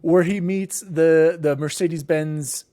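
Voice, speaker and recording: A man hosting a casual gaming podcast, talking in an informal, animated, rambling way. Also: fairly high pitch, slow pace. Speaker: podcast host.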